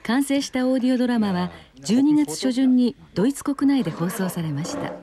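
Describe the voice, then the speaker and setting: A woman's voice: a little high, expressive, very fast, crowd noise. A woman reading scripted narration for a television news report.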